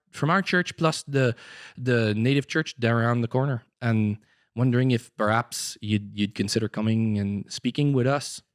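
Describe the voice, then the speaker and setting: A man putting on a halting French-Canadian pastor. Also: mid-range voice, dry recording, clean studio sound, natural voice. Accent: Québécois French. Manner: halting, full of uh and um. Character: fake French-Canadian pastor, hesitant, apologetic.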